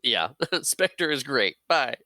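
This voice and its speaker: A man's voice: medium pitch, big pitch swings, slow pace, pushed and bright, no echo, studio quality. A man co-hosting a podcast in casual chat about a film, often laughing and chiming in with short agreements. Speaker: podcast co-host.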